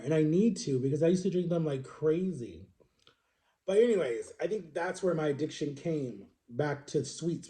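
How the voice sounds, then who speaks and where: A man in his thirties with a slightly high but very dark, relaxed tone. He talks to his viewers as if chatting with friends. His storytelling rambles, circling back to correct himself and repeating words for emphasis. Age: 30s. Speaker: vlogger.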